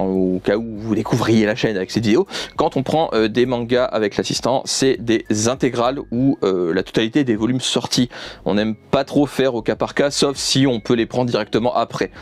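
A young man chatting off the cuff as an enthusiastic vlogger, often repeating himself. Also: medium pitch. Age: young adult. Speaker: YouTuber presenting a manga unboxing. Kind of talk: casual unscripted vlog chat. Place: indoor room with manga shelves.

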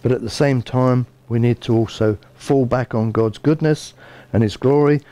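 A man's voice: medium pitch, fairly even intonation, normal speed, mellow. A man in his fifties with a British accent, preaching a sermon in an earnest, conversational way. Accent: British English. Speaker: bishop preaching. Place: small indoor room by a window.

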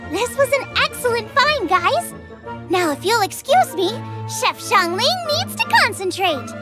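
A woman's voice: extremely high pitch, big pitch swings, measured pace, over music, exaggerated voice. A woman voicing a bubbly, confident teenage girl chef in an anime video game, chirpy and enthusiastic. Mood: cheerful, eager.

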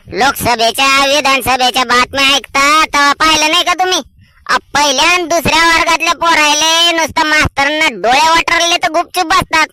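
A cocky young cartoon villager played by a man, high-pitched and bright. He argues back cheekily in a rural Maharashtrian accent, full of ambition. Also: slight background noise, exaggerated voice, low-quality recording. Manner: argumentative, cocky. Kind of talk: cartoon comedy voice acting.

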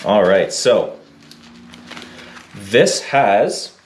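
A man's voice: fairly low pitch, lively intonation, very slow. A man in his thirties with dry, sarcastic humor, co-hosting a motorcycle build video in a home garage. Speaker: co-host of a motorcycle build channel. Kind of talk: casual workshop chat. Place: home garage workshop, indoors. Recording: echoey garage with clear noise.

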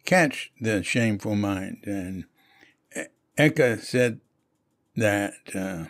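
A man reading a spiritual lecture aloud in a measured way. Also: on the low side, expressive, fairly bright tone, no echo, studio quality. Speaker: reader of a Zen lecture.

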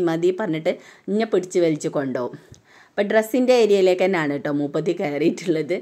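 Chatty Kerala mom with a low voice. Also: female voice. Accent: Malayalam, Kerala. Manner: chatty, tags sentences with a soft "tto". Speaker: vlogger, mother touring a mall with her daughter. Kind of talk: casual walk-around vlog narration. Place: large indoor shopping mall. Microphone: phone camera held while walking.

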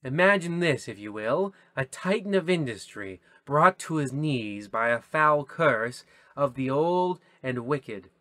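A man's voice: mid-range voice, bright, forceful tone, dry recording. A man playing a gleefully macabre horror radio host, theatrical and mock-ominous, parodying old-time radio.

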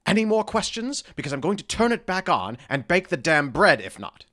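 A man's voice: sits high, sing-song, brisk, sharp tone, exaggerated voice. An actor playing a haughty, impatient fairy king with an exasperated, commanding manner in an audio drama.

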